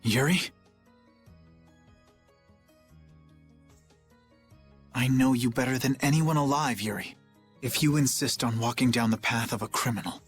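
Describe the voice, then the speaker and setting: A man's voice: mid-range voice, fairly quick, faint music behind, natural voice. A man playing an earnest, righteous young knight captain in a dubbed anime game, speaking formally and solemnly. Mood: grave.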